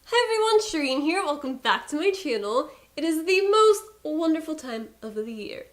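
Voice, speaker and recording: A woman's voice: high-pitched, sing-song, moderate pace, over loud music. A young woman with a Persian accent, a beauty YouTuber talking casually to camera, chatty and exasperated with playful asides.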